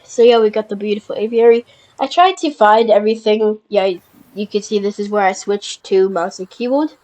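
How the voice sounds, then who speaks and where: A woman with a cold and a stuffy, blocked nose talks her way through a park-building game in an easy, offhand way. Her sentences start and stop, full of fillers and self-corrections, with small excited lifts when something cute appears. Her pitch sits right in the middle. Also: highs cut off. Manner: halting, with many fillers and restarts. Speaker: gameplay video creator. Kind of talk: casual gameplay commentary.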